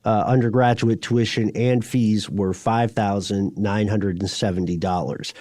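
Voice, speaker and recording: A man's voice: on the low side, fairly even intonation, a little slow, a little mellow, dry recording, clean studio sound. A man co-hosting a podcast in a casual, conversational style of banter and commentary. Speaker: podcast co-host.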